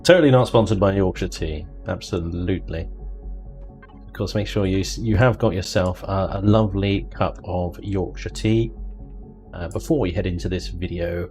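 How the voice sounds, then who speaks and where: A man hosts a casual gaming stream and chats away in a low, easygoing voice. He jokes a lot and drifts into mock voices. Quiet music plays under him. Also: moderate pace. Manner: chatty, jokey, drifts into mock voices and laughter. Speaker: livestream host. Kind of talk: casual chat while gaming.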